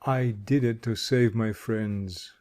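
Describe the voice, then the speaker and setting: A hollow, deep-toned scarecrow , put on by a male narrator, mourns his lost straw in a slow, dragging voice. The tone stays dark and mellow, and he sounds noble even in defeat. It comes from a storybook reading. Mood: mournful. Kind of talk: audiobook character voice.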